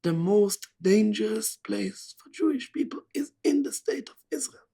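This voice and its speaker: Husky man in broken English, emphatic. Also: high voice, lively intonation, moderate pace, dark tone. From Palestine. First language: not English. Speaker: interviewee. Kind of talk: interview answers.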